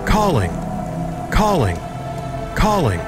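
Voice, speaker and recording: A man's voice: on the high side, wildly swooping pitch, slow, over loud music, full character voice. A man voicing a naive, childlike AI companion in a video game, earnest, anxious and very literal. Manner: literal, innocent, simply phrased.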